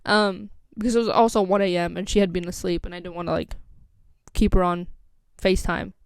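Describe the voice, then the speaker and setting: A woman's voice: lowish voice, fairly even intonation, fairly quick, smooth, dry recording, clean studio sound. A young woman with an American accent telling a casual, rambling story as a vlogger, full of "like" and "um". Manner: rambling, scatterbrained, lots of "like" and "um".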